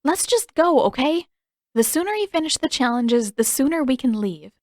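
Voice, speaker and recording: A woman's voice: high-pitched, big pitch swings, moderate pace, darkish tone, no echo, clean studio sound, voice pushed up. A woman voicing a firm, reassuring teenage girl in a fan fiction audiobook reading.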